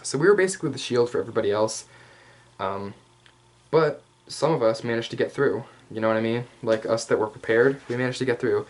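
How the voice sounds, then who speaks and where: A man voicing a male hyena furry commander in a casual, rambling, playfully cocky ASMR roleplay, speaking straight to the listener with slangy asides and hesitant pauses. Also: mid-range voice, close to an everyday voice. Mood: casual, boastful. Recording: clear background noise.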